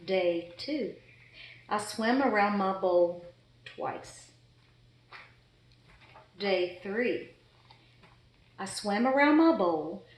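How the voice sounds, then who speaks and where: A woman's voice: medium pitch, lively intonation, drawn-out words, long pauses. A woman voicing an exasperated, fussy pet goldfish in a dramatic children's storybook read-aloud. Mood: grumpy, deadpan. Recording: echoey room.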